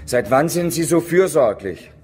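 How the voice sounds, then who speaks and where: An actor playing a gruff, tired photographer in a radio play, curt and mocking. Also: male voice, a little high, expressive, unhurried, a little mellow, slight background noise.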